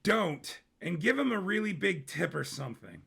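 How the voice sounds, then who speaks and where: A man's voice: high-pitched, sing-song, moderate pace, gravelly, a bit bright, breathy edge, pitched above the performer's own voice, full character voice. A man voicing a bored thirty-year-old prince in an audio story, with slangy, laid-back bro talk.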